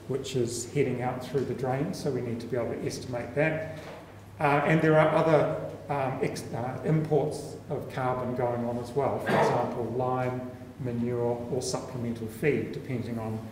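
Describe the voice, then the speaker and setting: A man's voice: mid-range voice, darkish tone. A man, giving a seminar talk as a university researcher, explaining step by step with frequent ums and restarts. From New Zealand. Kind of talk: conference talk. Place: meeting room, indoors. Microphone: stand mic at a lectern. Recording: echoey hall, faint room noise.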